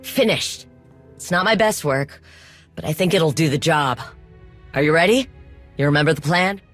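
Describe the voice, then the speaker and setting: A woman's voice: low-pitched, expressive, normal speed, soft background music. A woman playing an earnest, anxious conspirator in a video game, speaking in an urgent, conversational way. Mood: earnest, encouraging. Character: earnest, anxious conspirator planning a risky con. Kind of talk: video game cutscene acting.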